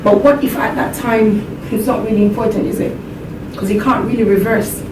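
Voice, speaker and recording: A woman from Jamaica speaks earnestly to a seminar audience, her fairly high voice set back from the mic. The room is echoey, and a steady rumble runs underneath. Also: normal speed. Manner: earnest, laughs along with the audience. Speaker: motivational speaker. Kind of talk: motivational seminar talk. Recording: echoey hall, distant mic, background rumble.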